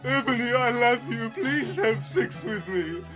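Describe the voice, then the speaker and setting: Woman doing a high wailing lovesick villain. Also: expressive, unhurried, music in the background. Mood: pleading. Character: lovesick whiny male villain henchman.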